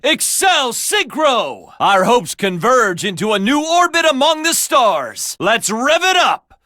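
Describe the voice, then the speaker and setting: A man's voice: very high-pitched, very animated, slow, bright, forceful tone, dry recording, studio quality, heavily acted. A man voicing a resolute young duelist and gang leader in an anime game dub, earnest and emphatic in his delivery. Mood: fierce, rallying. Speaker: video game character voice actor.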